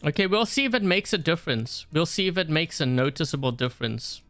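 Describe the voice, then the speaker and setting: A man's voice: a little high, expressive, fast, a bit bright, dry recording, faint music behind. A man from South Africa commentating his own game live, chatting and thinking aloud with lots of fillers. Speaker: game streamer. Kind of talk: casual live-stream gameplay commentary.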